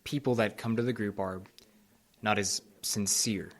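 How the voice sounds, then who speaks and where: A man playing a concerned, fatherly support group facilitator who speaks gently and earnestly. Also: on the low side, steady tone, a little slow, slight background noise, lightly acted.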